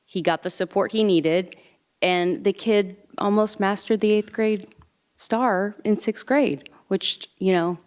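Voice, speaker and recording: A woman's voice: mid-range voice, slow pace, a little mellow. A woman on a school board sharing a personal example as a parent, with frequent hesitant fillers. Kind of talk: board meeting discussion. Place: board meeting room.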